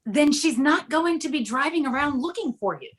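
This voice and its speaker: A woman's voice: high-pitched, lively intonation, moderate pace. A woman reading the part of an exasperated, dismissive companion in a screenplay table read.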